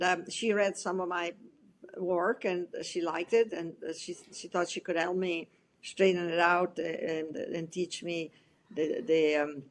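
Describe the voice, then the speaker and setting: A middle-aged woman from Italy with an Italian accent, a guest author chatting in an interview, with a halting manner full of restarts and light laughs. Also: lowish voice, slight background noise. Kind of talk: casual interview chat.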